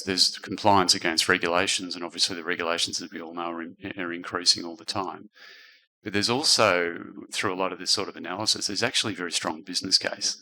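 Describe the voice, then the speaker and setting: A man speaking as a panelist in a businesslike, animated way, with many restarts. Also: sits low, rough recording. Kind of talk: panel discussion.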